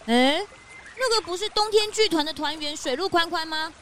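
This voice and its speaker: A woman voicing an eager, excitable young sidekick in a children's audio drama. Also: extremely high pitch, normal speed, slight background noise. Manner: eager, indignant. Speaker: detective's assistant.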